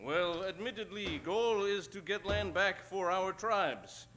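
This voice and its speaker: An actor playing a pompous, exasperated chief in an old-time radio western spoof, speaking in a stilted, weary way. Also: male voice, high-pitched, slow, fairly bright tone, soft background music. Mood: deliberating.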